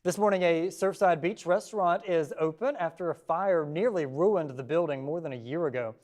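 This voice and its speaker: A man's voice: a little high, moderate pace, darkish tone. A man anchoring a local television newscast, reading scripted stories from a studio. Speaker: TV news anchor.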